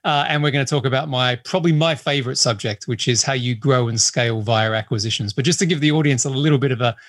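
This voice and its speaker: A man's voice: medium pitch, quick pace, fairly bright tone, no echo, studio quality. A man hosting a business interview with a hybrid Australian accent mixed with English and American, chatty and enthusiastic. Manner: enthusiastic, chatty, laughs easily. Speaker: podcast host.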